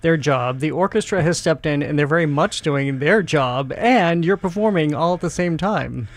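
A man hosting a radio interview, talking in a conversational, sometimes halting way. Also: fairly high pitch, no echo. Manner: conversational, a bit halting at times. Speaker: radio show host.

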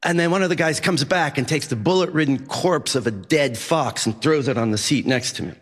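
A middle-aged man from Massachusetts, a journalist, telling a personal story in a lively, vivid way. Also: medium pitch, a little fast, long reverb tail. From Massachusetts, USA. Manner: vivid, animated when recounting action. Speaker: journalist and news editor.

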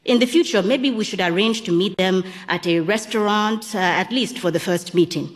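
A woman voicing a blunt, businesslike middle-aged husband who speaks in a brisk, matter-of-fact way. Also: mid-range voice, big-room sound, natural voice. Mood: musing.

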